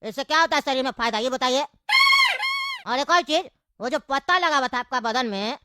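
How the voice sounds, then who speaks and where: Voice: sits high, huge pitch swings, normal speed, heavy background noise. A performer voicing a nosy, teasing male nephew in a Hindi comedy cartoon, a cheeky caller asking mock-earnest questions.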